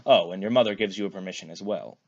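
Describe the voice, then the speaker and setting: A man's voice: low-pitched, fast, dull audio. A man playing an ancient primordial god who is a teasing, fond father in a story. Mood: fond reassurance.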